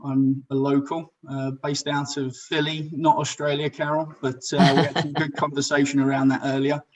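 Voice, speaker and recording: A man from Southeast England with an English accent, introducing himself on a panel in a relaxed way. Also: a little high, normal speed. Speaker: sales manager, panelist. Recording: dull lo-fi call audio.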